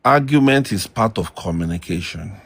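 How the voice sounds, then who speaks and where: A middle-aged man, a guest elder giving measured marriage advice over a distant remote call line. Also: on the low side, expressive, vocal fry. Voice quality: loud voice. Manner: slow, measured advice with pauses.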